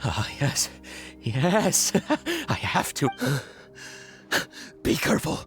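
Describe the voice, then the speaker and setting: A man's voice: mid-range voice, sing-song, extremely slow, faint music behind. A man voicing a panicky, confused and timid traveler in a video game, stammering and faltering as he speaks. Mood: dazed, muttering. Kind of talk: video game character dialogue.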